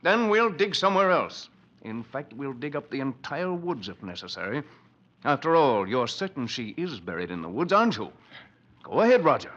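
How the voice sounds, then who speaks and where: A man's voice: mid-range voice, big pitch swings, moderate pace, a bit bright. An actor playing a guilt-ridden, grimly determined wealthy man in a 1940s radio horror drama, with an earnest, dramatic delivery. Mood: stern, suspicious. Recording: dull, lo-fi vintage 1940s radio recording.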